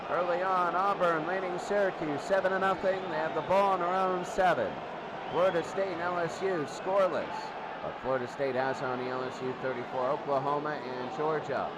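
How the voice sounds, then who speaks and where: A man's voice: on the high side, fairly even intonation, fairly quick. A man doing live American football play-by-play as the announcer, in short clipped bursts that often repeat. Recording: very noisy ambient amateur recording.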